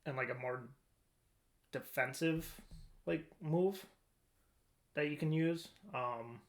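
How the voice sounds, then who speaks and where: A man's voice: fairly high pitch, slow. A man co-hosting a podcast, chatting casually about video games in an informal, rambling way as he thinks aloud. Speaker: podcast co-host.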